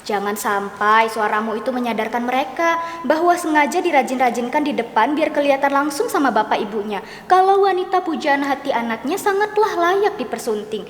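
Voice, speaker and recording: A woman reading the part of an older sister who scolds her younger sibling in a measured, mocking tone. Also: sits high, animated. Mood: needling. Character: older sister, calm but needling and scolding. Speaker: dramatic reader. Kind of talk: dramatic reading of a stage script. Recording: echoey hall with faint noise.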